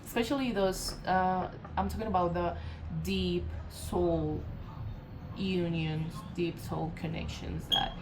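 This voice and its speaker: A woman from Colombia with a Colombian Spanish accent, giving a casual channeled tarot reading in a meandering way, full of fillers, self-corrections and soft laughs. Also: fairly low pitch, steady tone, slow, noisy background. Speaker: tarot reader.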